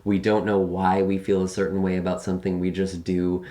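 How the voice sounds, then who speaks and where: A young man speaking earnestly to a camera at his desk in a small room, his voice sitting deep and low. It is a little raspy from a long day of recording, and he leans on fillers as he talks through the month ahead. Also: monotone, a little fast, warm tone. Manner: earnest, filler-heavy, gestures with hands. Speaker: tarot reader. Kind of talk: casual monthly forecast to camera. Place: small room by a window at home.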